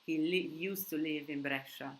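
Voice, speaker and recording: A woman's voice: deep voice, fairly even intonation, normal speed, a little hiss or hum. A woman hosting a live Q&A in English that is not her first language, rambling casually with many restarts and fillers. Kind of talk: casual live-stream chat with viewers. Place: countryside home.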